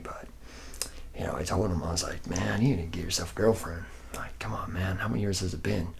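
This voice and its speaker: A man's voice: low-pitched, gravelly, slight background noise. A man playing a laid-back, drunken womanizer who works at a robot factory, rambling and boasting in slangy, repetitive talk for an ASMR roleplay. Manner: slack, rambling, boastful, slangy, repeating himself. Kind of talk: ASMR roleplay, soft improvised-sounding monologue.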